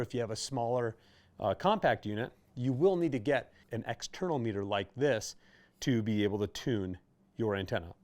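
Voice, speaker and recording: A man's voice: mid-range voice, a little mellow. A man presenting products in a casual, conversational explainer style, talking the listener through things hands-on. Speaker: presenter for a CB radio retailer.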